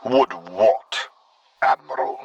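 A man voicing a stern, exasperated armored dark lord in an audiobook, with formal, clipped, commanding speech. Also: medium pitch, expressive, slow pace, gravelly, lo-fi sound, voice pushed up. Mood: angry, scornful. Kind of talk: audiobook character voice.

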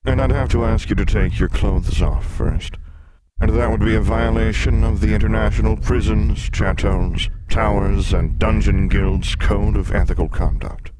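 A man voicing a huge, mocking and menacing sphinx in an audiobook, booming and theatrical. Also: moderate pace, heavy background noise, lightly acted. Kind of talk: audiobook character voice.

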